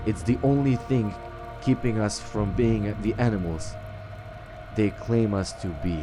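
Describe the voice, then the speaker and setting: Lower-pitched man, game roleplay host, halting read-aloud delivery, stumbles over words, music clearly behind. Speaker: let's-play roleplay host. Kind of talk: reading game text aloud and role-playing dialogue.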